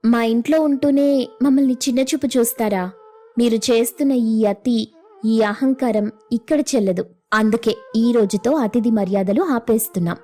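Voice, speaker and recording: A woman's voice: fairly high pitch, moderate pace, warm tone, lightly acted. A woman voicing a firm, reproachful young woman of the household in a moral story cartoon. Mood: stern.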